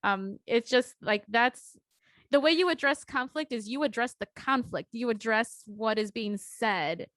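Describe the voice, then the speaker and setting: A woman's voice: a little high, a little slow, a bit bright, no room sound, clean studio sound. A young woman co-hosting a podcast in casual chat, talking earnestly and reassuringly, with lots of "like" and "um". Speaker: podcast co-host.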